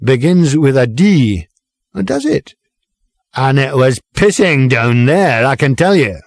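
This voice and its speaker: A man's voice: medium pitch, big pitch swings, slow pace, vocal fry, no room sound, studio quality, full character voice. A man voicing a gloomy, aggrieved middle-aged lorry driver who rants. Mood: ranting. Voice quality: ranting, table-thumping.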